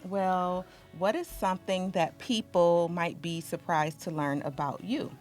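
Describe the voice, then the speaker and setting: A middle-aged woman, an assistant principal, casually interviewing a high school student. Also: fairly even intonation, unhurried, a little mellow, soft background music.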